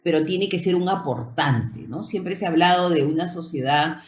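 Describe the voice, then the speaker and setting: A woman's voice: lowish voice, moderate pace, echoey room. A woman guest, talking reflectively and often ending phrases with a questioning "no". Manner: thoughtful, repeats words, ends phrases with "¿no?". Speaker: historian guest. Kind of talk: reflective interview talk.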